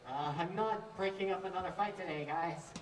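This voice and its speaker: A man's voice: sits high, normal speed, lightly acted. A young man acting a hesitant, mild, good-natured character in a student stage play. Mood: mildly concerned. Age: young adult. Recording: echoey room, far mic, clear noise.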